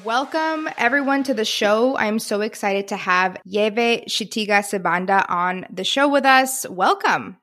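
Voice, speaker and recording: A woman's voice: mid-range voice, expressive, unhurried, few pauses, fairly bright tone. A woman hosting a career podcast who also works as a career coach, talking in an upbeat, enthusiastic way.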